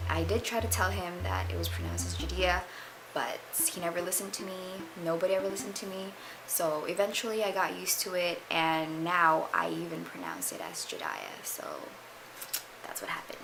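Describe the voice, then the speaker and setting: Low-voiced young woman chatting casually. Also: poor audio. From Philippines, moved to the United States at eleven. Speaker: vlogger. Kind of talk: casual get-to-know-me chat. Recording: music playing behind the voice. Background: music.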